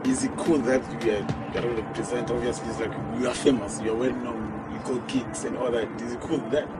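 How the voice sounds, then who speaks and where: A man's voice: mid-range voice, steady tone, fairly quick. A man hosting an interview, asking short, prompting questions. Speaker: interviewer, host. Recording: music bed under voice. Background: music.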